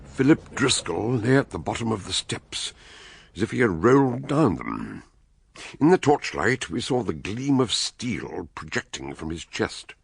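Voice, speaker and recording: Deep, gravelly English sleuth. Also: male voice, sing-song, no room sound, full character voice. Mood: measured, grave. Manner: courteous, deliberate, articulate. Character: measured, inquisitive learned male sleuth and storyteller. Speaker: investigator and narrator. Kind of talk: radio drama dialogue and narration.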